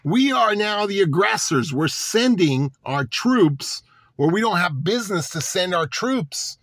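A man's voice: high-pitched, lively intonation, unhurried, a bit bright, dry recording. A man delivering a political monologue to his audience, sarcastic and full of rhetorical questions. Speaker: political commentator.

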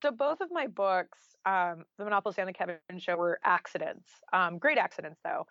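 A woman's voice: lowish voice, animated, fairly quick, fairly bright tone, dry recording, highs cut off. A woman speaking as an interview guest, telling her story casually and conversationally, acting out quoted remarks as she goes. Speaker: interview guest, author and journalist.

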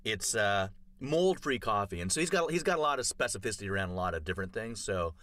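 A man's voice: lowish voice, expressive, fast talker, bright, forceful tone, dry recording. A man chatting casually on a podcast, telling a long story full of fillers and restarts. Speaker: podcast co-host. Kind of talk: casual chat.

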